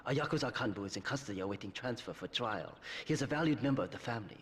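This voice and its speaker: An actor playing a proud Yakuza brother who speaks in a formal, condescending, measured way. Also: male voice, medium pitch, animated, husky. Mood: cold disdain. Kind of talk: video game cutscene acting.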